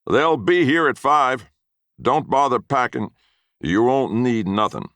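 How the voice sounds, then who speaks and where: A man's voice: mid-range voice, expressive, takes it slowly, a bit bright, dry recording, pitched above the performer's own voice. Man doing a fierce, bullying old grandfather. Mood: yelling threats. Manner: domineering, barking orders. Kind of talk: acted storytelling dialogue.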